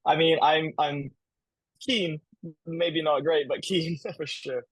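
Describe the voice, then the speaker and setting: A man's voice: a little high, steady tone, slow pace, a bit bright, husky, no echo, poor audio. A young man from Hong Kong, a podcast guest, answering casually with lots of fillers and restarts and frequent laughs. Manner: chatty, many fillers and restarts, laughs often. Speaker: guest, camp business manager.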